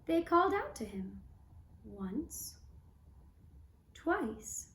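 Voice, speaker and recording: A woman storyteller narrating a fairy tale for a puppet show in a measured, deliberate way. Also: fairly high pitch, big pitch swings, fairly bright tone, slight background noise. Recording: roomy, echoing sound.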